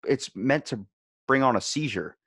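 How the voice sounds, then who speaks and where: A man's voice: medium pitch, animated, moderate pace, vocal fry, a bit bright, husky. A man in a casual podcast chat, rambling as host and animatedly telling stories, acting out the lines he quotes.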